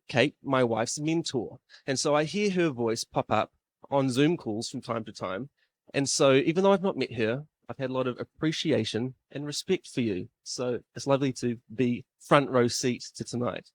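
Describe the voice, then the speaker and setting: A man in his thirties with a New Zealand accent, emceeing a live event in a classroom-style room, chatty and self-deprecating. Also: mid-range voice.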